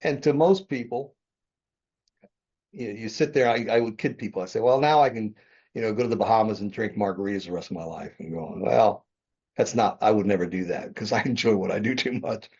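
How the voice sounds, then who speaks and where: Gravelly-toned older man telling his story. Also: on the low side, fairly quick, a little mellow. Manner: rambling, self-correcting, chuckles often. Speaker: podcast guest, real estate developer. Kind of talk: conversational interview storytelling. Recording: dull lo-fi podcast audio.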